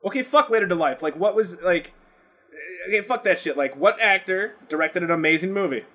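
A man with a somewhat high-pitched voice chats casually as a podcast co-host. He is brash and opinionated, speaking bluntly and cursing constantly. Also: animated, fairly quick, pushed and bright. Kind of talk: casual, profane podcast banter.

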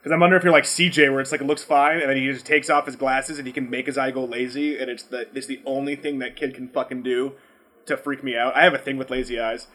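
A slightly tipsy man hosting a podcast, chatting casually and asking questions in an animated, incredulous way, swearing freely. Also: medium pitch, quick pace. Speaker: podcast host.

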